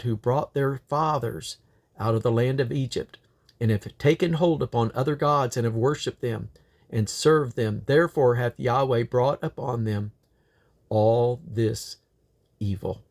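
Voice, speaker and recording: A man's voice: medium pitch, measured pace. A man reading scripture aloud in a solemn, declamatory way, with the occasional slip and correction. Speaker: Bible reader.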